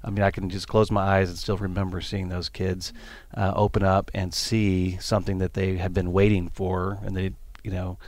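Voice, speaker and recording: A man's voice: sits low, normal speed. A man with a South Texas accent, a pastor talking earnestly on a radio show, with many ums and uhs. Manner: earnest, steady, filler-heavy. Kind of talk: interview explanation.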